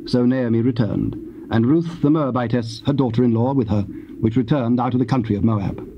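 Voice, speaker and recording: A man narrating scripture in a solemn, measured, formal style as part of an audio drama. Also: mid-range voice, close to an everyday voice. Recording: muffled hissy old cassette tape.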